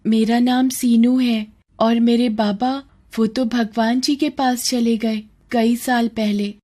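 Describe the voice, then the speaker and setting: एक महिला की आवाज़ में ऊँची आवाज़ वाली मासूम बच्ची. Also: female voice, a little high, steady tone, slow pace, warm tone. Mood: plain, sad. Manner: childlike, polite, pleading. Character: earnest young poor girl selling vegetables, cheerful but tearful.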